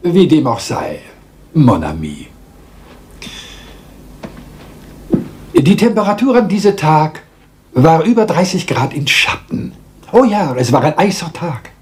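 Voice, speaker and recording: An actor playing a small, self-assured Belgian detective with a French accent, theatrical and politely lecturing in a radio crime drama. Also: male voice, on the high side, sing-song. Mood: patiently explaining. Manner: theatrical, deliberate, polite, lecturing, teasing rhetorical questions.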